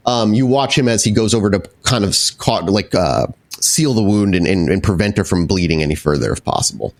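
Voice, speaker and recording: A man working as storyteller of a tabletop role-playing game, improvising narration and explaining the rules descriptively. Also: mid-range voice.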